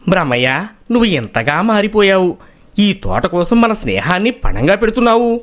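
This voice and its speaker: A man's voice: sits high, sing-song, brisk. A man playing an earnest village farmer in a moral story. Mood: indignant, hurt. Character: wronged, earnest village farmer pleading his case. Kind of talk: acted moral-story dialogue.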